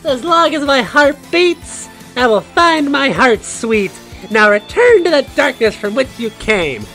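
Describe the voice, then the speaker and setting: A woman voicing a high, melodramatic young male hedgehog hero. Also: big pitch swings, measured pace, fairly bright tone, faint music behind, heavily acted. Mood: heroic. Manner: declamatory, earnest speech-making. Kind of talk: amateur comic dub.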